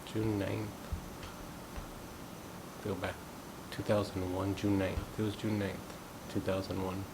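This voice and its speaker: Brief: thirties male, from the US, musing monologue, flat delivery, rumbling noise in the background. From United States. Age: 30s. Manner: thoughtful, unhurried, musing aloud. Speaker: gong practitioner sharing thoughts. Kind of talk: reflective personal monologue.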